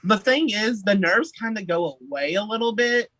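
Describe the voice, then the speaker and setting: A man's voice: high-pitched, animated, normal speed, sharp tone, no room sound. A young man, a singer, chatting playfully as a podcast guest and laughing often. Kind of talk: casual interview chat.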